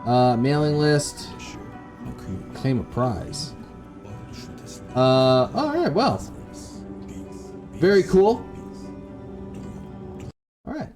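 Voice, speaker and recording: A man's voice: a little high, lively intonation, drawn-out words, pauses often. A man giving casual, laid-back commentary as he hosts a video game playthrough. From Canada. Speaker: gaming video host. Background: atmospheric game music.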